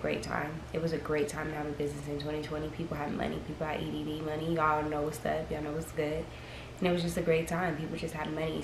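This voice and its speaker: Low, flat-voiced young woman vlogging. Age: early 20s. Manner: chatty, rambling, frank. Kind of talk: casual chat to camera. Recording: echoey room with audible background noise.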